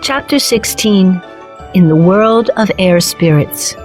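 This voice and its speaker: Slow, clear woman storyteller. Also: medium pitch, faint music behind. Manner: slow, clear and even reading. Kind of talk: scripted storybook narration for children.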